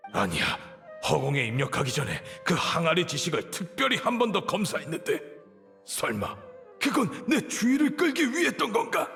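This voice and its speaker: Imperious grand sage, sharp and animated. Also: male voice, fairly high pitch, full character voice. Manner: haughty, commanding. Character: imperious, suspicious male grand sage of an academy, older. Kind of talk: dramatic Korean game voice acting.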